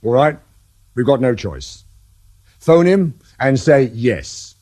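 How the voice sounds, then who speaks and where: An actor playing a skeptical, gruff senior police superintendent in a radio drama, speaking brusquely. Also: male voice, mid-range voice, very animated, slow, warm tone.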